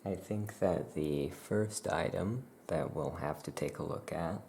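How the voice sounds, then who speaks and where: A soft-spoken ASMR host playing a calm witch shopkeeper, talking gently and without hurry while showing items. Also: sits low, vocal fry, slight background noise. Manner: gentle, unhurried, hushed delivery. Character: calm Salem witch shopkeeper welcoming customers. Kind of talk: soft-spoken ASMR roleplay, product showcase.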